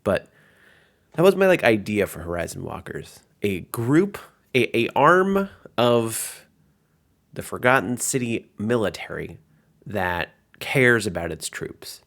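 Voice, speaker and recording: A man's voice: lowish voice, big pitch swings, takes it slowly, creaky, fairly bright tone. A man working as game master, narrating and commenting on a tabletop game in an informal, explanatory way.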